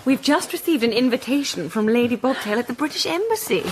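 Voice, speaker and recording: A woman playing a gushing, excitable society lady in a radio drama. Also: high-pitched, fast talker, a little hiss or hum. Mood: thrilled. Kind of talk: British radio drama acting.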